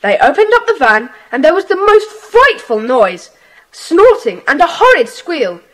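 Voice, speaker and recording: A woman playing an earnest, adventurous British schoolboy in a children's audio drama, speaking in an animated way. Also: high voice, unhurried, exaggerated voice, low-quality recording. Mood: earnest, intrigued. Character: earnest, adventurous schoolboy telling his friends things.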